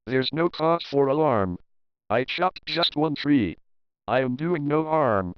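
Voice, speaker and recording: A man's voice: a little high, expressive, slow pace, smooth, no echo, lo-fi sound. A man playing a secretive old hermit storyteller, greedy and defensive, reciting dramatically in rhyme. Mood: defensive, boastful.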